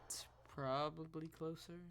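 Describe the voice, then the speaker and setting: A woman's voice: low voice, flat delivery, unhurried. A woman streamer giving casual gameplay commentary in an offhand, dry manner.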